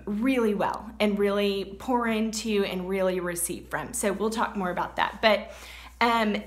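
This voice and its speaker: A woman's voice: medium pitch, a bit bright. A young woman with a Texan accent giving an encouraging motivational talk to her business team, often checking in with "okay?". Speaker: network marketing team leader.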